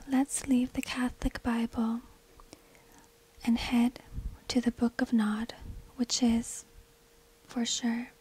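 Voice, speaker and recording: A woman tells a story in a very breathy, unvoiced whisper. Her delivery is slow and deliberate, with little movement in pitch. She is close to a binaural mic, in a hushed ASMR narrating style. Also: low-quality recording. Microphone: close binaural microphone.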